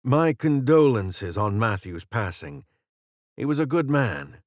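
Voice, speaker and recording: A man's voice: medium pitch, big pitch swings, slow, no room sound, studio quality. A man voicing an aging British council leader, articulate, formal and wry, in a fantasy audiobook. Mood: gentle, measured.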